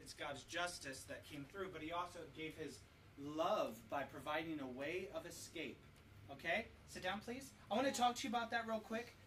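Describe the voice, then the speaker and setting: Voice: mid-range voice, moderate pace, fairly bright tone. A parent leading a family Bible study, teaching children with questions and repeating answers back in an instructive way. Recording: distant mic with light background noise.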